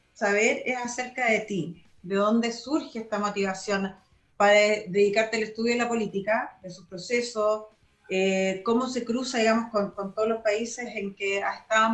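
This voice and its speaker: Woman radio host, stops and restarts a lot, lots of fillers. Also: medium pitch. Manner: halting, many fillers and restarts. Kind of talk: radio interview.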